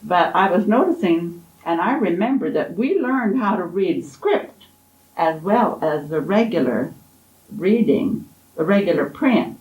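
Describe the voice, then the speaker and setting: A woman in her eighties, an oral history interviewee, gently reminiscing in an unhurried, fond way. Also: lowish voice, lively intonation. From Kansas, USA. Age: 80s. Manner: gentle, unhurried, fond storytelling with soft laughs. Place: college library. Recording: echoey library room.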